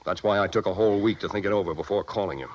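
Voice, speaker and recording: A worried, earnest insurance boss, acted by a man who presses his case with urgent pleading. His voice sits low and the words come fast, heard on a vintage radio drama recording. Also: a little mellow, lo-fi sound, natural voice. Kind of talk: 1950s radio drama acting.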